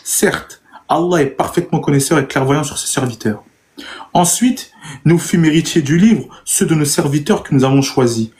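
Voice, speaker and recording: A man moderating a live online debate, calmly managing turns and reading passages aloud. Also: fairly high pitch, moderate pace. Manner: calm, brief interjections, plain reading.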